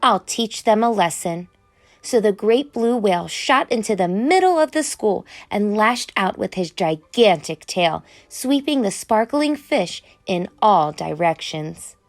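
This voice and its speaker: A woman reading a children's picture book aloud as an expressive storyteller. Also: mid-range voice, measured pace, hardly any pauses. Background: music.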